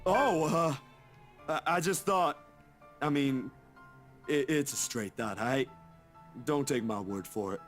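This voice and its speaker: An actor playing a timid, quiet young man who speaks haltingly and unsurely in a game. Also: mid-range voice, faint music behind, close to an everyday voice. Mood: flustered.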